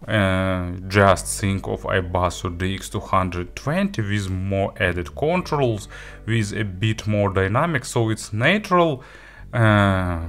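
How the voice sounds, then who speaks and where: Low-voiced man reviewing gear, unhurried. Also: fairly bright tone. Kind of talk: casual spoken product review.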